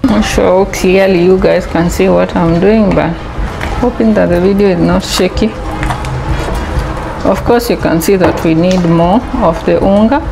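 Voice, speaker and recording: A woman's voice: fairly low pitch, steady tone, unhurried, over music. A woman with a Kenyan accent chats casually as she cooks for a vlog, mixing English and Swahili and explaining as she works. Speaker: vlogger. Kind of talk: casual cooking vlog chat. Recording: clearly noisy home recording. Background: household noise.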